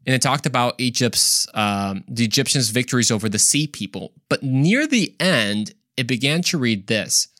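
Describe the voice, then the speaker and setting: A man's voice: medium pitch, lively intonation, moderate pace, hardly any pauses, a bit bright, no echo, studio quality. A man hosting a podcast, telling stories casually and conversationally in a playful, joking manner with exclaimed asides. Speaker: podcast host. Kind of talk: casual, conversational storytelling to listeners.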